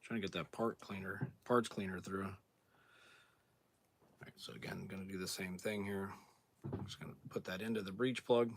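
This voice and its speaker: A hoarse man clearing his throat as he explains a cleaning job step by step in a relaxed, plain-spoken way, sometimes moving away from the camera mic. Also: low voice, normal speed, pauses often, slight background noise. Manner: relaxed, plain-spoken, thinking aloud while working. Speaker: outdoors channel host. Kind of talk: casual hands-on demonstration.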